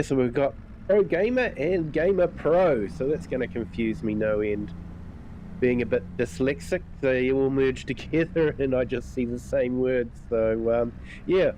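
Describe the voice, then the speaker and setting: A man with a New Zealand accent chatting through a game live stream in a relaxed, rambling way with lots of fillers, his nose a bit stuffed up. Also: medium pitch, a little hiss or hum, rough recording. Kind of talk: casual live-stream commentary.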